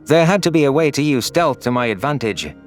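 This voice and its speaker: A man's voice: medium pitch, expressive, brisk, soft background music, natural voice. A man voicing an anguished, vengeful young furry alien who narrates his own audio story in an earnest, solemn, dramatic way.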